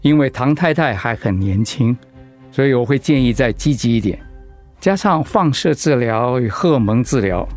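An actor playing a calm, measured male doctor in a radio drama, explaining things professionally. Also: mid-range voice, soft background music, lightly acted. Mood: measured, advising.

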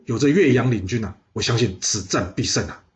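A man's voice: medium pitch, expressive, a little fast, natural voice. A man voicing a confident, loyal male adviser at court.

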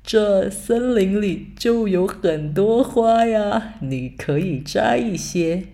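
A woman voicing a sly, hungry male big bad wolf with a gruff, wheedling voice and sneaky chuckles in a bedtime storybook reading. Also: medium pitch, animated, slow pace, mellow. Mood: coaxing.

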